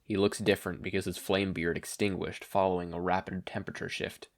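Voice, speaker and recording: A man's voice: low-pitched, flat delivery, brisk, voice pushed down. A man voicing a composed teenage boy hero who is blunt, pointed and matter-of-fact.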